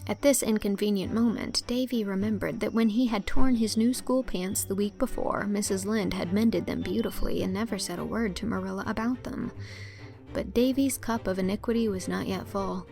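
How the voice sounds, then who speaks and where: A woman narrating a literary audiobook in a measured, articulate storytelling manner. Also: medium pitch, creaky, a little mellow, soft background music.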